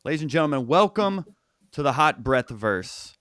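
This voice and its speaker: A man with a smooth, resonant voice at an ordinary pitch hosts a comedy podcast. He builds up his guest with eager, show-style introductions, recorded close and dry. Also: very animated, normal speed, a bit bright, no room sound. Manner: enthusiastic, hype-building introductions. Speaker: podcast host. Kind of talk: casual podcast chat.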